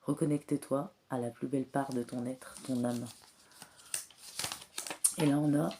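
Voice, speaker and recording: A woman with a cold and a slightly hoarse voice, giving a spiritual card reading in a soft, hesitant way with many filler pauses. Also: very deep voice, fairly even intonation, slight background noise. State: has a cold, clears throat often. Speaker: tarot and oracle card reader.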